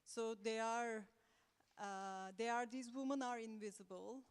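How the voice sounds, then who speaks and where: A woman from Turkey speaking English with a Turkish accent, an assistant professor on a panel who talks in a measured, conversational way. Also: on the high side, clear, resonant tone, long reverb tail. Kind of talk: round-table panel talk. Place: conference room.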